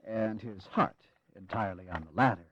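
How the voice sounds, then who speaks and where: A grave, courtly general, muffled recording. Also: male voice, fairly low pitch, a bit bright, breathy edge. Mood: wry, grave. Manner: measured, formal, courtly. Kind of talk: dramatized history audio acting. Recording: dull, lo-fi 1980s audio drama.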